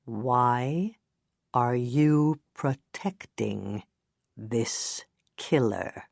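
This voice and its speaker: A woman voicing a male detective who speaks slowly and quietly in a low, hypnotic voice. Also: gravelly, dry recording, studio quality, full character voice. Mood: hushed.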